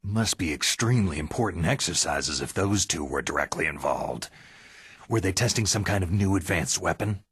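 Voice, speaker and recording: An actor playing a gruff, sardonic veteran special forces soldier in a video game, terse and dry, with a gravelly husky voice. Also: male voice, low-pitched, expressive, moderate pace, a bit bright, dry recording, heavily acted. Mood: grim, probing. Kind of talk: video game cutscene acting.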